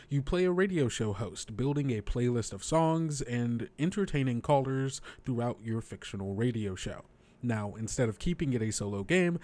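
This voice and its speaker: A man giving a scripted podcast introduction in a measured, explanatory way. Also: mid-range voice, darkish tone. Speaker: podcast creator and presenter.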